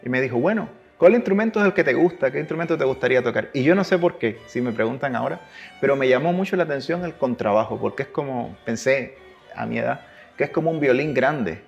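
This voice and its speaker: A man speaking with a Cuban accent softened by years in Chile, his voice gravelly and of middling pitch. He tells his stories easily and acts out the dialogue he quotes, on a slightly dull radio recording. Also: lively intonation, quick pace, soft background music. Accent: Cuban with a Chilean tinge. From Cuba. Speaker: guest, double bass player. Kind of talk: relaxed interview storytelling.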